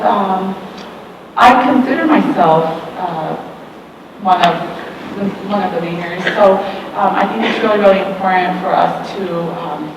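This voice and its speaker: A woman on a panel in a school hall, talking in a lively way and laughing between points. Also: mid-range voice, background noise. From Oklahoma, USA. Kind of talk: panel discussion. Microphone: distant room mic. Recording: echoey hall from far off.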